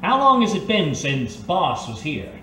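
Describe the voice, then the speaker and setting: A man's voice: fairly high pitch, huge pitch swings, a little slow, bright, forceful tone, exaggerated voice. A man playing an earnest, polite and slightly awkward private eye in an old-time radio detective drama. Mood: probing, earnest. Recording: roomy, distant recording with faint noise.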